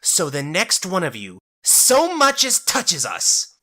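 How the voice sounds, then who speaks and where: Performer as a snarling, brash fighter woman, pitched up. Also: huge pitch swings, slow pace, bright, forceful tone, exaggerated voice. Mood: defiant, snarling. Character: fierce, defiant shape-shifting fighter woman.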